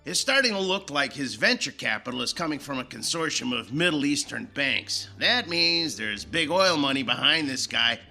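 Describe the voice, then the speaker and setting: An actor playing a brusque, sardonic male news editor in a radio drama, brisk and commanding. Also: mid-range voice, animated, creaky, sharp tone, faint music behind, full character voice. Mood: businesslike, urgent.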